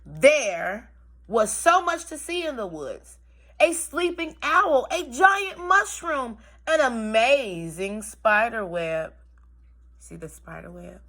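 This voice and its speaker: A woman's voice: on the high side, expressive, slow pace, pushed and bright. A woman teaching young children through a read-aloud, explaining and prompting with questions in an instructive way.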